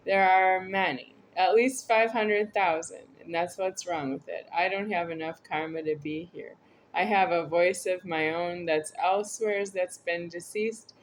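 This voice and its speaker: A woman's voice: fairly low pitch, a little hiss or hum. A woman talking to camera in a meandering, repetitive, earnest monologue. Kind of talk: rambling spoken monologue to camera.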